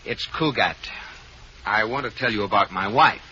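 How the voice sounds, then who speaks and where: An actor playing a worried, stammering banker husband in a 1940s radio comedy. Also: male voice, on the low side, moderate pace, pushed and bright. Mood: flustered. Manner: stammering, flustered. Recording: dull, noisy old radio broadcast with audience.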